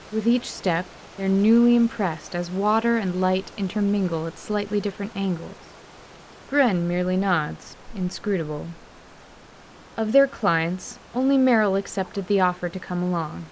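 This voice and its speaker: A woman narrating scripted fantasy in a measured, literary storytelling manner. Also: medium pitch, a little mellow, slight background noise, rough recording.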